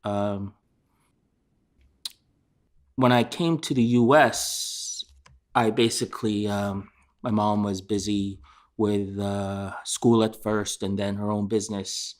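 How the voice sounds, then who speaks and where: A middle-aged chef from Korea, answering interview questions in a hesitant way with many fillers and restarts. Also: male voice, lowish voice, fairly even intonation, slow, long pauses.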